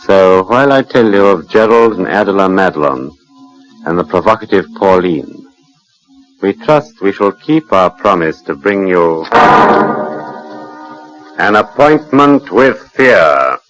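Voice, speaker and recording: Deep sinister british horror host, dramatic swooping delivery, old 1940s radio show, formal and deliberate. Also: male voice, expressive, fairly bright tone, rough recording. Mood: ominous, urbane. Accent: British English. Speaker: storyteller and host.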